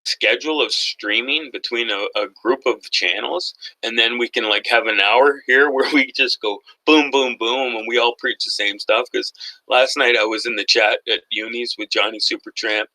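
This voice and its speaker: A man with an Irish Canadian accent, talking loud and animated in a casual livestream chat. His voice is very bright and sharp, and he launches into joking, rambling rants. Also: mid-range voice, moderate pace, low-quality recording. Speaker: guest.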